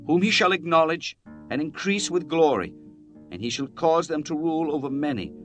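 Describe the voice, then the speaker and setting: A man's voice: a little high, lively intonation, normal speed, a bit bright, faint music behind. A man narrating scripture in a solemn, declamatory style, as in a dramatized Bible reading.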